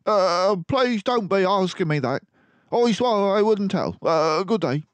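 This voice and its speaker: A shabby, tubby mouse messenger from a storybook. The voice is pitched very high and jumps about, timid and deferential, like a humble working man afraid to say too much. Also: expressive, a little slow, smooth, no room sound. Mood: frightened. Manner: timid, deferential, rustic phrasing.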